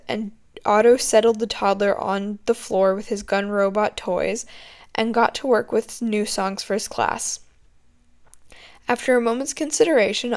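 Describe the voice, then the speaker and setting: A woman narrating a written story as an amateur audiobook reader. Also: mid-range voice.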